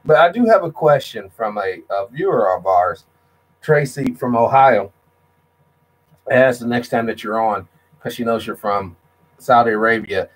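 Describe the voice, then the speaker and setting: A man's voice: medium pitch, lively intonation, unhurried, low-quality recording. Blunt, slangy male show host. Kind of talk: casual discussion.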